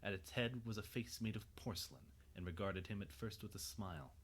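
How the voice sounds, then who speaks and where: A man narrating a scripted dramatic story in a measured, suspenseful way. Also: fairly low pitch.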